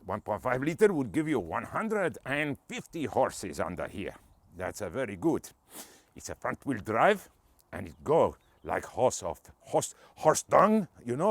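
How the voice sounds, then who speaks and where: A swaggering Spanish car enthusiast, as a man puts on the voice, showing off a small car in broken English. His voice sits low and swings widely up and down with comic bravado. The sound is dry and close. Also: moderate pace, dry recording. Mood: jokey. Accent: Spanish (put-on). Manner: jokey, broken English, lively swoops. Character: cheeky Spanish man reviewing a car, boastful.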